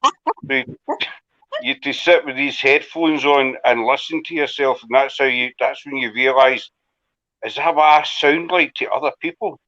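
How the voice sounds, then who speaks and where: A man's voice: mid-range voice, moderate pace, bright, forceful tone. A Scottish man hosting a casual live chat, rambling and self-deprecating as he talks. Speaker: host. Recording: dull lo-fi audio.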